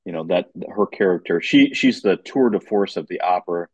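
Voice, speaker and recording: A man's voice: deep voice, animated, brisk, husky, dry recording. A man chatting casually as a guest commentator on an arts podcast. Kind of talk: casual chat.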